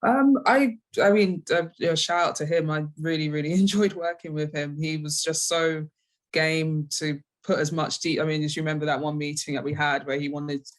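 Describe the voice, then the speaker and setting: A woman's voice: sits low, moderate pace. A woman from the UK, a writer, giving casual interview answers and thinking aloud hesitantly as she goes.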